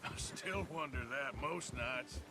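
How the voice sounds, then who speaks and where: An American actor playing a rugged, wry Old West outlaw in a video game cutscene, with a folksy drawl. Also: male voice, medium pitch, takes it slowly, background noise. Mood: reflective.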